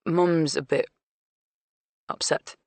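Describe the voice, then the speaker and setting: Harried young man, soft and placating, voiced by a woman narrator. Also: low-pitched, fairly even intonation, slow pace, gravelly, no echo, exaggerated voice. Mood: subdued.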